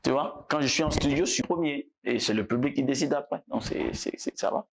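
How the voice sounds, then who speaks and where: A man from Togo chats as the guest in a casual interview, at an ordinary mid pitch. He speaks in a relaxed, halting way and often repeats his words as he goes. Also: creaky. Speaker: musician guest.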